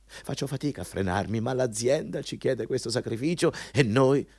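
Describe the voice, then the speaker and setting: An actor playing a domineering, hypocritical company boss in a theatrical Italian radio drama, pompous and mocking in manner. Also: male voice, medium pitch, expressive, moderate pace, gravelly, a little mellow, breathy edge. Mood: stern, preachy. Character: domineering, hypocritical male company boss interviewing a job applicant.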